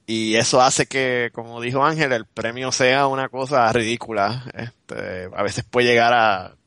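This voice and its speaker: A man's voice: mid-range voice, fairly even intonation, unhurried, fairly bright tone, no echo, studio quality. A man with a Puerto Rican Spanish accent co-hosting a podcast in casual, slangy, joking banter. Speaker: podcast co-host.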